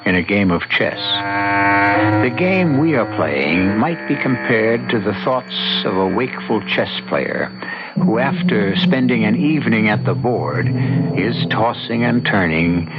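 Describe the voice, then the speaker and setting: Man as a deep, theatrical mystery host over music. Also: lively intonation. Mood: brooding, speculative. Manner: theatrical, deliberate rhetorical musing. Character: brooding male mystery-theater host musing on chess. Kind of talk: old-time radio drama narration. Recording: dull vintage radio, loud music behind.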